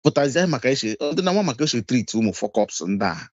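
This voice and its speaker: A man's voice: mid-range voice, very animated, fast talker, dry recording, dull audio. A man calling in to a talk show, switching back and forth between Igbo and English. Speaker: caller.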